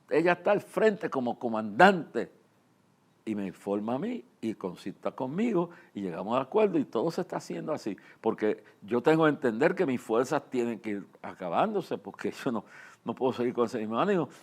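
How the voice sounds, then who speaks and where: An older man with a Puerto Rican accent giving an informal religious address and personal testimony, earnest and halting, repeating phrases as he speaks straight to listeners. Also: medium pitch, darkish tone. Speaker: preacher and ministry leader.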